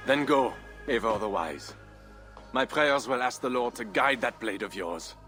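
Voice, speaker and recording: Proud, courtly count, lively intonation. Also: male voice, mid-range voice, soft background music. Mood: ambitious, resolute. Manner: formal, solemn, measured. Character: proud, ambitious Frankish count, grave and courtly. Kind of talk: dramatic video game voice acting.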